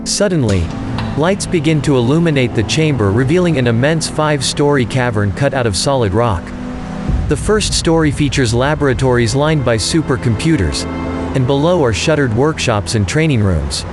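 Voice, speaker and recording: A man's voice: mid-range voice, lively intonation, normal speed. A man narrating an audio drama in a scripted, dramatic style. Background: music.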